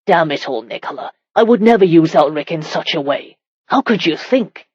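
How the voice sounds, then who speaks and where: A woman voicing a commanding, protective medieval warrior husband in a romance audiobook, firm, measured and often exasperated. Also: sits low, lively intonation, a little mellow, breathy edge, dry recording, lo-fi sound. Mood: angry.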